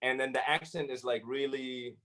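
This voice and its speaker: A man's voice: mid-range voice, fairly even intonation, normal speed, fairly bright tone. A man, a hip hop artist raised in the Philippines, Saudi Arabia and Syria, talking casually as a guest with slang and some Arabic phrases. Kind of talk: casual interview chat.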